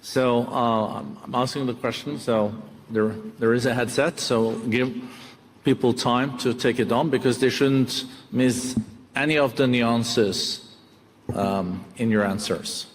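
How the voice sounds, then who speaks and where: A man with a Norwegian accent, a native Norwegian speaker, moderating an onstage interview conversationally with hesitant, halting phrasing. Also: mid-range voice, steady tone, slight background noise. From Norway. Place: large conference hall stage. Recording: reverberant conference hall PA.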